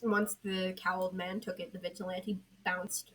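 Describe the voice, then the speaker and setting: A woman's voice: lowish voice, flat delivery, normal speed, a bit bright, slight background noise, rough recording. A young woman reading scripted story narration as an amateur audio fiction narrator. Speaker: podfic narrator.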